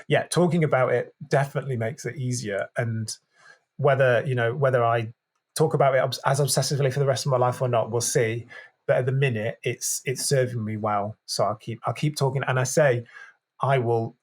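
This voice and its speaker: A man, a podcast guest speaking openly and reflectively, often restarting his sentences. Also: mid-range voice. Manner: open, reflective, with frequent restarts and throat clearing. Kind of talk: candid conversational interview.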